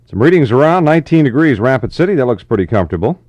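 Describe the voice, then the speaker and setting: A man's voice: medium pitch, big pitch swings, rapid-fire, a little mellow. An American man working as a 1960s middle-of-the-road radio DJ, chatting in an easygoing, folksy way. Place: radio studio. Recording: dull, roomy 1960s AM radio aircheck.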